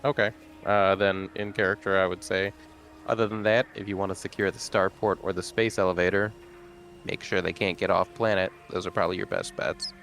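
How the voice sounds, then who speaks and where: A man's voice: low-pitched, level tone, fairly quick, fairly bright tone, soft background music. A man playing a tabletop game, speaking in a casual, polite way in and out of character. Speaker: player.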